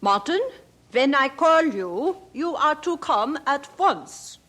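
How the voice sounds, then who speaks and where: Stern, animated mother. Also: high voice, slow, full character voice. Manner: commanding, formal phrasing. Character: stern, industrious mother of a poor family. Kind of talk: radio drama acting.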